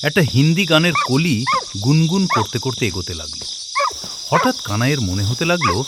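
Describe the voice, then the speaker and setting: A man narrating a scripted horror story in a dramatic, suspenseful, expressive way. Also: medium pitch, very noisy. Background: suspense music, cricket sounds.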